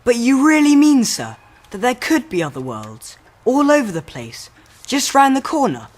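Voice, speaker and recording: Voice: medium pitch, huge pitch swings, normal speed. A performer playing an earnest, polite schoolboy who questions his elders respectfully in a radio drama. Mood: puzzled, sceptical.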